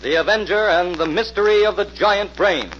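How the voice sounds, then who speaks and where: A man's voice: high voice, normal speed, loud music behind the voice. A man announcing an old radio mystery in emphatic, portentous scripted narration, in the style of 1940s broadcasting. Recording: muffled, noisy old radio recording.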